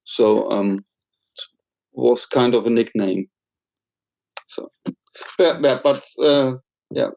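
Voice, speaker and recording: A man, a songwriter, talking casually in a halting way with frequent restarts. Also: medium pitch, gravelly, a little mellow, rough recording. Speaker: songwriter, workshop participant. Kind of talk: casual conversation.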